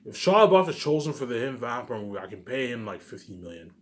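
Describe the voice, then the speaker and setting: A man's voice: medium pitch, very animated, fast. A man talking casually in a rambling, repetitive monologue, musing aloud like a vlogger. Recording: noisy amateur recording.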